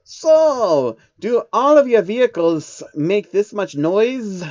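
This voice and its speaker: A man voicing a naive, excitable male insectoid pony creature from a fan storybook reading, curious and wide-eyed. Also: high voice, takes it slowly, lo-fi sound, pitched above the performer's own voice, exaggerated voice.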